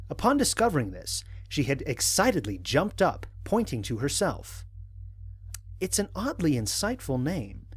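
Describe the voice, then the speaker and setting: Guy acting a wry space captain in an audio drama, lively pitch swings, bit of rasp in the voice. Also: no room sound. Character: wry human astronaut captain dictating mission logs. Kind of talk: audio drama narration as log entries.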